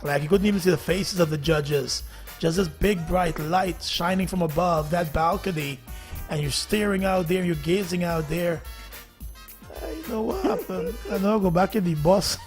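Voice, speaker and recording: A Guyanese man hosting a casual morning radio show, chatty and quick to laugh, with rambling asides. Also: high voice, faint music behind. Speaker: radio host.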